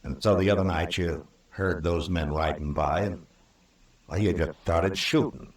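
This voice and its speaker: A male actor playing a stern, fair-minded American frontier marshal in a 1950s radio western, speaking firmly and deliberately. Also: low voice. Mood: grave, measured. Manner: firm, deliberate, authoritative. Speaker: lead actor and narrator. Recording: old radio recording, roomy and distant.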